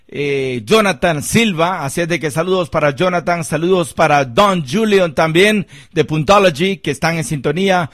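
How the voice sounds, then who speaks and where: A man hosting a live radio interview show, effusive and sing-song, with lots of shoutouts. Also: fairly high pitch, dry recording, studio quality. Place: radio studio.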